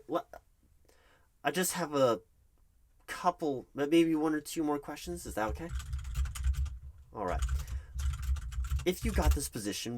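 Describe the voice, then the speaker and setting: Nervous male recruiter played by a man for ASMR interview roleplay: hesitant, swooping intonation, typing noise behind. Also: medium pitch. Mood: nervous, polite. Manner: hesitant, stammering, awkwardly professional. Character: nervous, polite male recruiting manager interviewing a job applicant. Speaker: recruiting manager. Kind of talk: ASMR roleplay, one-sided job interview. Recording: clear background noise with keyboard typing. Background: loud keyboard typing and clicks.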